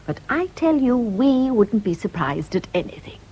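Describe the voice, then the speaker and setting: Gossipy British nurse, wildly swooping voice. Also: female voice, on the high side, big pitch swings, normal speed, warm tone, slightly rough, muffled recording. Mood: smug, patronising. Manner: insinuating, confiding, emphatic. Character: gossipy, knowing, bitter veteran private nurse, middle-aged woman. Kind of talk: British radio drama acting.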